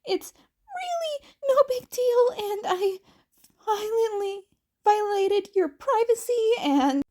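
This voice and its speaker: A woman's voice: very high-pitched, animated, slow pace, darkish tone, voice pushed up, full character voice. A woman voicing an anxious, apologetic teenage boy who rambles and stammers in an audiobook-style story. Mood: panicked, apologetic.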